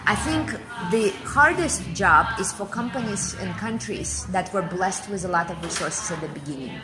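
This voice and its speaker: A woman in her forties from Kazakhstan answers interview questions in a fairly low voice. She talks fluently and with emphasis, driving points home by repeating her phrases. Music plays clearly behind her. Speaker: interview guest, business speaker.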